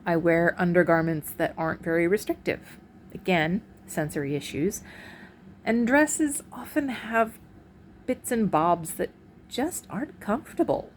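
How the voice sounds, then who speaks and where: A woman with a deep voice talking candidly in a personal vlog, frank and halting, with pauses and restarts, recorded at home on a phone camera. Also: a little hiss or hum. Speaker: vlogger. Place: at home, indoors. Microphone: phone camera, recorded in portrait mode.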